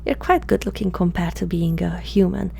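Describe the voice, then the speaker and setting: A woman playing a curious, flirtatious alien experimenter in an intimate ASMR roleplay, speaking haltingly in a soft, breathy murmur that often slips into whispers. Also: fairly low pitch, a little hiss or hum. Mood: soothing, coaxing. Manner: hesitant, halting, softly spoken, often slipping into whispers.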